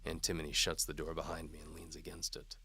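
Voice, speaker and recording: A man's voice: extremely low pitch, monotone, fast, noisy background. A man reading dramatic first-person noir narration in a hard-boiled, wry manner.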